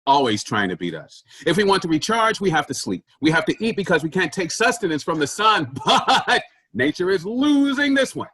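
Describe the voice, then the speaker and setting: A man's voice: high-pitched, sing-song, moderate pace, a bit bright, slightly rough, dry recording, studio quality, exaggerated voice. A man in a staged comedy reading playing a pushy, self-important office boss who preaches efficiency emphatically. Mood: conspiratorial, excited.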